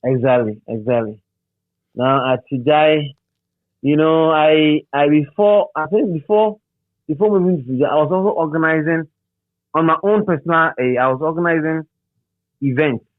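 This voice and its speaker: A man's voice: a little high, no room sound. Ghanaian man reminiscing over a phone-like line. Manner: halting, repeats words and phrases, animated storyteller. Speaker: interview guest, radio DJ and presenter. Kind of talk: casual reminiscing in an interview. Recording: thin phone-like sound.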